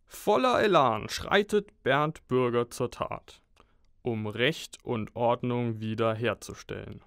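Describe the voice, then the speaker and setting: A man's voice: medium pitch, slow, creaky, fairly bright tone, smooth, no echo, clean studio sound. A man giving scripted, mock-heroic dramatic narration for a comic audio play.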